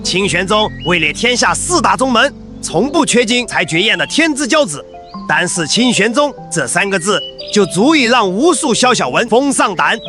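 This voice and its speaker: An actor playing an earnest, admiring young sect disciple in an animated drama, formal and reverent. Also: male voice, high voice, normal speed. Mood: proud. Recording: music behind the voice.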